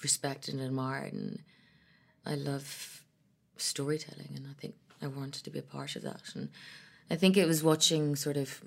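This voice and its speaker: Brief: woman, actress in interview, low gravelly voice, hesitant, restarts often. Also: steady tone, moderate pace. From Ethiopia and Ireland. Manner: thoughtful, hesitant, with frequent restarts. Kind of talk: conversational interview.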